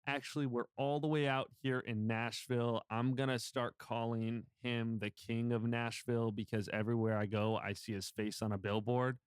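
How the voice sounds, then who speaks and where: A man hosting a podcast, upbeat, with quick interjections. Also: medium pitch, flat delivery, smooth, no echo, clean studio sound. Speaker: podcast host. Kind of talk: casual interview.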